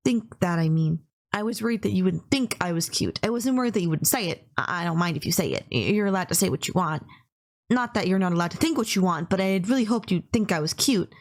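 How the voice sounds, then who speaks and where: A woman playing a sweet, polite young yandere who is lovestruck and bashful, in a romantic audio roleplay, earnestly rambling to the listener with small stammers. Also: on the low side. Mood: bashful, flattered.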